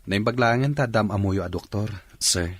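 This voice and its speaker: An actor playing an earnest, respectful young man and devoted suitor in a radio drama, with a husky voice. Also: fairly low pitch, moderate pace, lightly acted.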